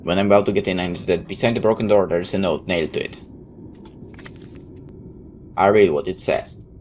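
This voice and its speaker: A man reading a first-person story aloud in a dramatic, slightly halting, expressive way. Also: low-pitched, slight background noise. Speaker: video game player reading a visual novel aloud.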